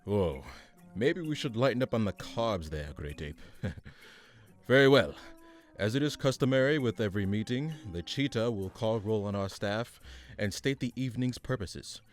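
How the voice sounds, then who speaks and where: A man's voice: fairly low pitch, expressive, normal speed, close to an everyday voice. A man acts out a bombastic figure from a superhero radio serial. He is grandiose and theatrical with a sarcastic, easily exasperated edge, and music plays faintly behind him. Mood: pompous, sarcastic. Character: bombastic radio announcer turned petty, exasperated, pompous supervillain leader. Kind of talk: old-time superhero radio play parody.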